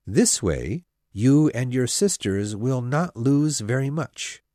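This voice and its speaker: A woman voicing a pompous, self-satisfied young male clergyman who proposes, speaking in a slow, smug, insistent way. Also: very deep voice, very animated, warm tone, no room sound, clean studio sound, voice pushed down, heavily acted. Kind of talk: storybook character voice.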